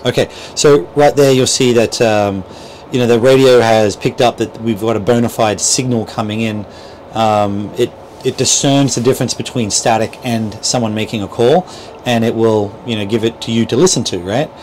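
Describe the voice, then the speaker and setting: A man's voice: medium pitch, normal speed, darkish tone, rough recording. Man explaining step by step over background noise. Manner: explanatory, step-by-step, checks in with "right?". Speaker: boat dealer instructor. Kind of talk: informal how-to demonstration. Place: small boat cabin at a marina. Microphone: clip-on lavalier mic.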